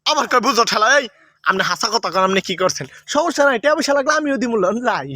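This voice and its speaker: A man's voice: very high-pitched, expressive, fast talker, sharp tone, no room sound, clean studio sound, full character voice. A man acting a pushy, lecherous village man in a comedy sketch, nagging and repetitive, with a rural Bangladeshi Bengali accent. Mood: pushy, wheedling. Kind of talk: improvised comic dialogue.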